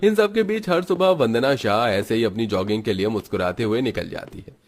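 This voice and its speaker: A man's voice: lowish voice, animated, brisk. A man narrating a scripted Hindi cartoon story.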